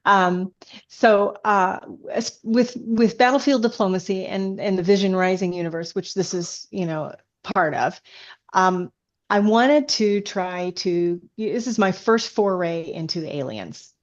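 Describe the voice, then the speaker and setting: A woman's voice: medium pitch, measured pace, highs cut off. A woman chatting as an interview guest, an author explaining things enthusiastically in a casual way. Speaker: guest, science fiction author.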